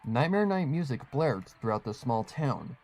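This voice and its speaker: A man with a mid-pitched voice narrates a scripted horror tale. He reads as a storyteller, setting out scenes and characters in prose. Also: sing-song, normal speed, noisy background.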